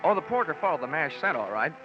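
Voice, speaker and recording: Breezy sardonic private investigator, 1940s radio drama narration, muffled old recording, music behind. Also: male voice, a little high, sing-song, fast. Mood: wry. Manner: wisecracking, sardonic. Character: wry, breezy freelance insurance investigator narrating his case.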